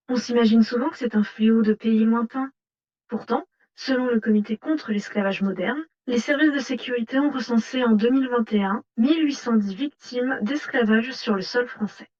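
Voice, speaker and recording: A woman's voice: on the high side, fairly quick, darkish tone, natural voice. A woman playing an earnest, grave news interviewer in a scripted report. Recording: dull lo-fi sound.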